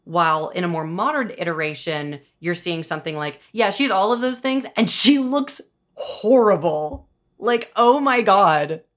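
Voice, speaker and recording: A woman's voice: medium pitch, animated, unhurried, fairly bright tone. A woman talking casually and analytically about storytelling, informal and wry, peppering her speech with "like". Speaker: podcast interviewer and showrunner.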